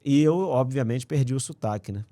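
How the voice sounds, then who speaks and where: A man, a podcast guest telling his life story in a relaxed, slangy, earnest way. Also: mid-range voice, brisk, dry recording, clean studio sound. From Rio de Janeiro, raised in Brasília. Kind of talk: casual conversational storytelling.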